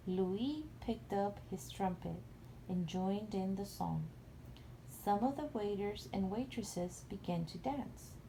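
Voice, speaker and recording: A woman's voice: mid-range voice, gravelly, warm tone, a little hiss or hum, low-quality recording. A woman reading a storybook aloud as a mother would at bedtime for a young child.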